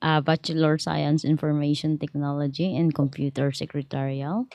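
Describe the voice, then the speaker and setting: A woman's voice: low-pitched, little pitch movement, normal speed, a little mellow, dry recording. A woman, shyly introducing herself as a podcast guest. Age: 40s. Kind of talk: self-introduction. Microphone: close podcast microphone.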